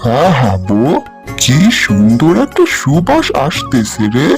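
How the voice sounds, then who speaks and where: Good-natured rustic cartoon husband, acted by a man; homely, colloquial, animated. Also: medium pitch, measured pace, soft background music. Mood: amazed. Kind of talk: cartoon fairy tale acting.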